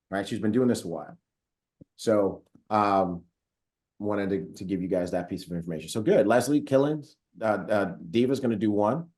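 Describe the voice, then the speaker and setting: Guy running a zoom training, lowish voice, upbeat, lots of ums and reading chat out loud. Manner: upbeat, reads chat comments aloud, frequent fillers. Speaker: host of a company training call. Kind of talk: casual live webinar hosting.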